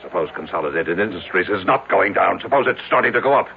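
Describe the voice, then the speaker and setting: A man's voice: sits low, lively intonation, fast, vocal fry, a little hiss or hum. An actor playing a paranoid, domineering businessman in an old-time radio drama, agitated, repetitive and faltering. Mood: suspicious. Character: paranoid, domineering businessman, frantic and losing his strength. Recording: tinny old radio broadcast, music behind.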